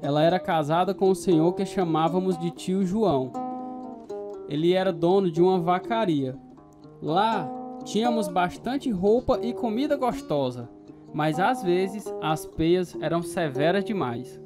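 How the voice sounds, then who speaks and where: A man looking back on his youth in a dramatized radio story. He narrates in a measured, reflective way, with plucked guitar music clearly behind him. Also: sits high, animated.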